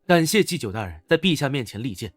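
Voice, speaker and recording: Casting: man as cocky, defiant young commoner hero, very expressive intonation, mid-range voice, recorded close and dry. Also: mid-range voice, fast talker, no room sound, faint music behind. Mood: confident. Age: young adult. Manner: bold, self-assured. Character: bold, defiant young beggar turned ambitious official. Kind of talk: Chinese period comic-drama dubbing.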